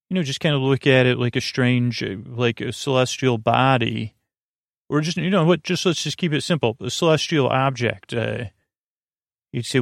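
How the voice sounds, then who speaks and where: A man with a gravelly, creaking voice delivers a bedtime podcast monologue. He wanders gently from tangent to tangent, stumbling over filler words and restarts in a soothing way. The sound is close and dry, with very little room around it. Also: mid-range voice, dry recording. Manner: halting, digressive, many false starts and filler words, soothing. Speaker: host of a sleep podcast.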